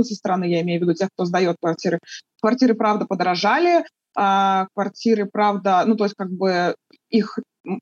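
A woman from Russia answering interview questions in a thoughtful, halting way, with many fillers and restarts. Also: medium pitch, a little mellow, slightly rough, no room sound, dull audio.

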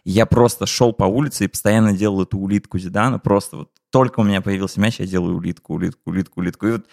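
A man's voice: low-pitched, steady tone, very fast, vocal fry, darkish tone. A man in his twenties, a podcast co-host, casually telling a personal story. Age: 20s.

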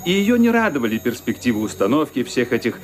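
An actor narrating a detective radio play as a wry storyteller, in dramatised scripted narration. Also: male voice, medium pitch, sing-song, fast, slight background noise, close to an everyday voice. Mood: wry storytelling. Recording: radio play with faint music behind.

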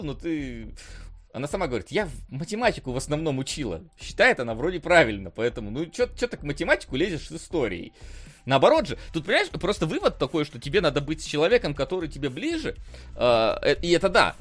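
A man co-hosting a casual film discussion podcast, rambling with many fillers and restarts and laughing often. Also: fairly high pitch. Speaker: podcast co-host.

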